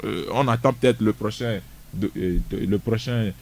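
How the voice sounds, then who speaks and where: A man's voice: lowish voice, normal speed, a little mellow, a little hiss or hum. A man, a guest on a radio discussion show, speaking emphatically and often repeating words as he restarts. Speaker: studio guest.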